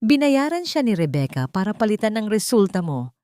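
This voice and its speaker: A woman's voice: mid-range voice, sing-song, brisk, mellow, no room sound, studio quality, voice pushed up, natural voice. A woman voicing a firm, serious, determined lawyer in an audio story. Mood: triumphant. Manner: serious, emphatic.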